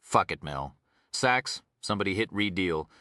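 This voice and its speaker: A man's voice: low voice, expressive, moderate pace, sharp tone, no echo, studio quality. A man voicing a brusque, impatient, driven criminalist detective in an audiobook. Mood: frustrated. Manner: impatient, curt.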